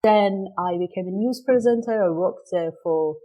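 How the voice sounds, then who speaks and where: A woman's voice: medium pitch, moderate pace, dull audio. A middle-aged woman from Iran, a native Persian speaker, telling her story as an interview guest with frequent ums and restarts. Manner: thoughtful, many ums and restarts. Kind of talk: casual interview conversation.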